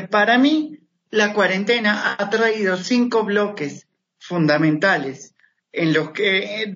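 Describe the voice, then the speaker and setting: Measured man in his thirties, remote line. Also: high voice, a bit bright, lo-fi sound. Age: 30s. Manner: measured, explains step by step. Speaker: guest, motivational speaker. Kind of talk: interview answers. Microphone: remote connection.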